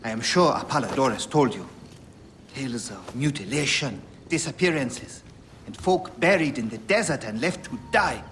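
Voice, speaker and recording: A man's voice: medium pitch, lively intonation, moderate pace, fairly bright tone, slight background noise, close to an everyday voice. An actor voicing a brooding priestly storyteller of ancient Egypt in a video game, theatrical and ominous, savouring his words. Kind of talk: scripted video game cutscene acting. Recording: long hall reverb, music behind.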